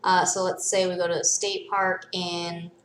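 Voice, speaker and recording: A woman's voice: lowish voice, level tone, normal speed, reverberant, slight background noise, low-quality recording. A middle-aged American woman explaining things step by step in casual, informal vlog talk. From United States (born in Michigan, raised in Arizona from age seven or eight). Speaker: vlogger.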